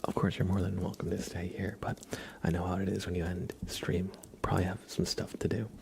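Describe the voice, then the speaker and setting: A man hosting an ASMR livestream in a gentle, hushed voice that often drops into a whisper, close to the microphone. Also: deep voice, flat delivery, brisk, creaky, a little hiss or hum. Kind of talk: ASMR, soft-spoken and whispered livestream chat.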